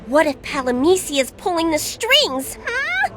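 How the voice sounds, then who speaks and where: A very high, bright little fairy, cheeky and bossy. She swoops through her lines in a sassy sing-song, as a teasing guide in a video game. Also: very animated, a little slow, a little hiss or hum, heavily acted. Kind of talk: video game cutscene acting.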